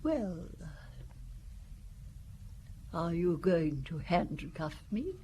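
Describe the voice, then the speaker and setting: A woman's voice: on the low side, big pitch swings, slow, dark tone, slight background noise. An actress playing a cold, scheming wealthy older woman who turns defiant and evasive under questioning. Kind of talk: radio drama acting.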